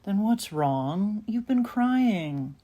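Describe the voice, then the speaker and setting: An actress plays a worried mother in an audio drama. Her mid-pitched voice is soft-edged and mellow, and she puts her probing questions slowly, with concern. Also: animated. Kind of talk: audio play dialogue.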